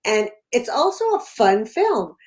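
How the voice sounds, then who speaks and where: A woman's voice: a little high, big pitch swings, measured pace, darkish tone. A woman hosting an interview show, introducing her guest and asking questions. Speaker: interviewer and talk show host.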